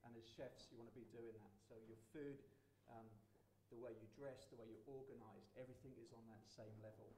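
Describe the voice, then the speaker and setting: Middle-aged chef, mellow warm voice, pep-talking students, roomy hall. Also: male voice, mid-range voice, steady tone, fairly quick, hardly any pauses. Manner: encouraging, motivational. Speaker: chef panelist. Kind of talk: panel discussion to students. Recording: echoey hall, faint room noise.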